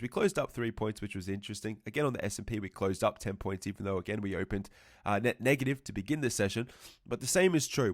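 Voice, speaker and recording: A man's voice: medium pitch, no echo. Male trading educator, nasal and congested from a cold, explanatory chart commentary, dry room. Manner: explanatory, repeats and rephrases himself, says "right" often. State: congested, a little fluey. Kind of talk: market analysis commentary.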